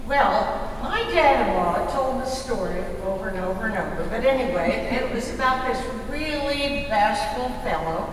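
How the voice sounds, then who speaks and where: A woman performing in a readers theater, telling stories in a deliberate stage style. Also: a little high, expressive. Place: large hall, live stage reading. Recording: distant echoey hall, clear background noise.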